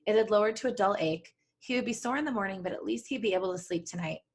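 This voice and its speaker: A woman's voice: mid-range voice, fast. A woman co-hosting a podcast, reading a story aloud and breaking off for casual banter. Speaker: podcast co-host reading fan fiction aloud.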